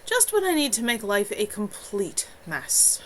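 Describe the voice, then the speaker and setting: A woman's voice: medium pitch, huge pitch swings, a little slow, fairly bright tone, a little hiss or hum. Read-aloud novel: woman voicing a pessimistic young man, glum and resigned.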